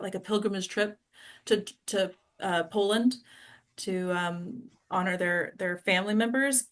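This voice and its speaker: A woman hosting a casual group discussion, speaking in a halting way with many fillers. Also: medium pitch, a bit bright. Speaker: host leading a discussion.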